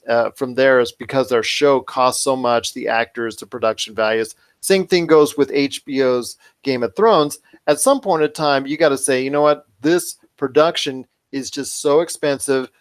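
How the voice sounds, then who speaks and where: Mid-pitched man giving wordy commentary. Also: steady tone, moderate pace, clean tone. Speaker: podcast host. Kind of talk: conversational commentary.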